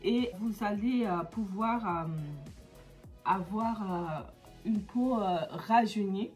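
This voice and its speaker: A woman's voice: mid-range voice, takes it slowly, darkish tone, soft background music. A woman from the Comoros with a stuffy nose from a cold, chatting casually through a beauty how-to vlog with many hesitations. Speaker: beauty vlogger.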